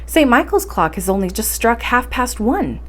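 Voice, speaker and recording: A woman's voice: on the high side, sing-song, normal speed. A woman voicing a mild, undecided young nephew in a storybook, talking in a plain, reassuring way. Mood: mild reassurance.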